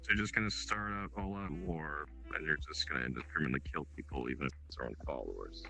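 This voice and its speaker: A man playing a hesitant, conciliatory adventurer who speaks for his party in an improvised online fantasy roleplay, halting and wry. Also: a bass voice, vocal fry, sharp tone, heavily acted, low-quality recording. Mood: diplomatic. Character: hesitant, diplomatic male adventurer, the party's spokesman. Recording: background music under the voice.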